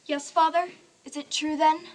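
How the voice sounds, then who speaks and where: A woman's voice: sits high, level tone, normal speed, natural voice. A woman playing a sorrowful but resolute young woman in a radio play, speaking earnestly and formally. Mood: anxious, mournful. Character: sorrowful, resolute young woman leaving her greedy fiance. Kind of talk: live-audience radio play acting.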